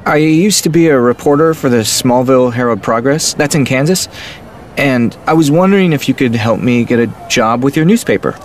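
An actor playing an earnest, persistent young small-town reporter in an audio drama. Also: male voice, mid-range voice, normal speed, lightly acted. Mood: earnest, insistent. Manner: earnest, insistent. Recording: street noise behind the voice.

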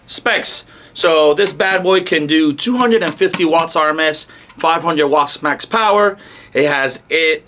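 A man's voice: fairly high pitch, pushed and bright. A man in his thirties hosting a casual product demo, talking to camera in short, punchy, enthusiastic phrases. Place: indoor car audio showroom. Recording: clear background noise.

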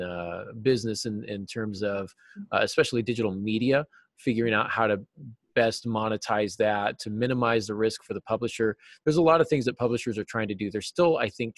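Low-voiced American man, dry sound. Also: dry recording. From United States. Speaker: interview guest, novelist and teacher. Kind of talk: casual interview talk. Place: small cluttered office, indoors. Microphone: video call.

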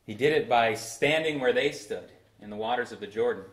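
Young pastor preaching in an echoey church. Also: male voice, mid-range voice. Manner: preaching with swells of emphasis and slow drawn-out phrases. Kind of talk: sermon. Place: church sanctuary at a pulpit. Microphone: far camera in a roomy church.

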